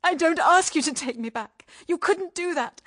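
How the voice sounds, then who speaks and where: High-voiced, fervent young wife. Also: female voice, expressive, normal speed, fairly bright tone. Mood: pleading, remorseful. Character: Nan, emotional repentant young wife in unhappy marriage. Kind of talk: radio drama acting.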